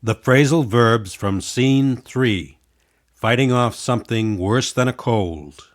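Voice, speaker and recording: A man narrating a scripted English lesson in a measured, clearly enunciated way. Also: mid-range voice.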